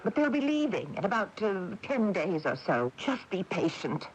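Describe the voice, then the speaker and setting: A woman playing a spirited, practical homeowner in a radio drama, speaking in a deliberate, emphatic, theatrical way. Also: mid-range voice, sing-song, dull audio, a little hiss or hum, natural voice. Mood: insistent.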